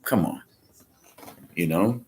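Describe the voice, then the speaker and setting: Very deep-voiced man, rambling podcast talk. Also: takes it slowly, mellow, slight background noise. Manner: rambling, with many fillers and restarts; speaks up when emphatic. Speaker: podcast host. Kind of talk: casual live-stream talk.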